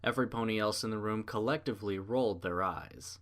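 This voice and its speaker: A man's voice: on the low side, brisk, a bit bright. A man reading a story aloud as narrator, in a scripted storybook style.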